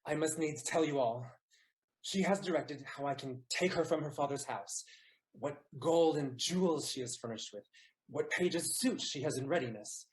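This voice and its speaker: A man playing an ardent young gentleman lover and schemer in a Shakespearean audio play. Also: on the high side, normal speed, natural voice, rough recording.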